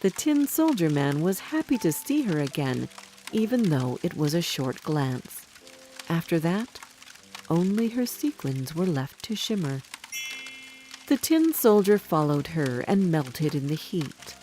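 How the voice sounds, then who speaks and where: A woman with a fairly low voice reads a children's fairy tale. Her storytelling has a very animated melody, and soft music plays behind her. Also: unhurried, rough recording. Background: background music.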